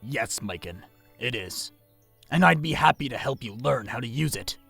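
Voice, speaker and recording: A man voicing a solitary male warrior mentor in an audio drama, grave and measured, with a gravelly voice. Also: medium pitch, lively intonation, a bit bright, no echo, faint music behind, exaggerated voice.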